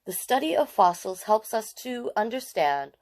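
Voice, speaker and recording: A woman in her late forties hosting storytime, reading aloud to children with expressive emphasis and chatty asides. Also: mid-range voice, moderate pace. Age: late 40s.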